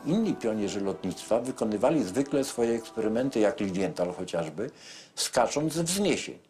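A man's voice: fairly low pitch, big pitch swings, normal speed, darkish tone, soft background music. An older man explaining history in an interview with many hesitations, seated in a small wooden boat cabin.

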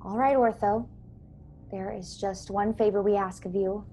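A woman acts as a young female officer aboard a starship in a science-fiction radio play. She is earnest and courteous, persuading gently in measured, polite phrases. Her mid-pitched voice comes over a video call with some background noise. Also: steady tone, natural voice. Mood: earnest, pointed. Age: young adult. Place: small bedroom, indoors. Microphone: earbuds on a video call.

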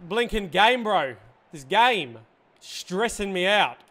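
A young man with a hoarse voice, reacting excitedly and yelling while playing a football video game. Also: high-pitched, takes it slowly. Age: young adult. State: hoarse, says he lost his voice. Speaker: gaming YouTuber. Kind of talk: reactive gameplay commentary. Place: indoor gaming room, seated in gaming chair. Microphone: headset, facecam.

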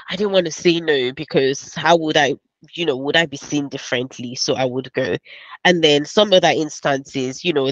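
A woman's voice: sits low, no room sound. A young Nigerian woman with a Nigerian accent, answering candidly as a panelist on a webinar over a headset mic. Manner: candid, reflective, brisk asides. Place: home office, virtual background. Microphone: headset mic on video call. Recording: dull dry video-call audio.

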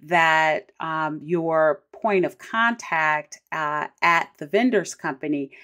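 A woman's voice: deep voice, slow, pushed and bright. A middle-aged American woman hosting a solo podcast, explaining things in an instructive, conversational way. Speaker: podcast host.

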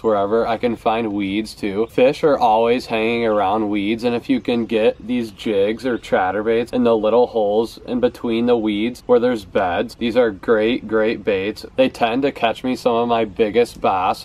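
A man's voice: fairly low pitch, fairly even intonation, normal speed, hardly any pauses, a little mellow, low-quality recording. A young man talking casually to camera on a porch outdoors, showing his favorite fishing baits and often repeating words for emphasis. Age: young adult. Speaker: fishing vlogger. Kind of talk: casual product talk to camera. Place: outdoors on a house's back porch steps.

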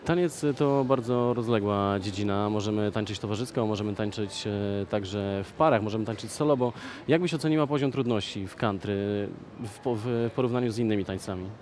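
A young man hosting a magazine interview, speaking in a lively presenter manner with filler pauses, recorded in a shopping mall on a headset mic. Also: lowish voice, a little hiss or hum. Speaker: host.

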